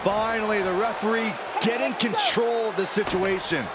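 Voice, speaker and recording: An actor playing an earnest, excitable wrestling commentator in a video game, calling the action as sports commentary. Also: male voice, high-pitched, normal speed, natural voice. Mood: excited. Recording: loud roaring arena crowd and noise.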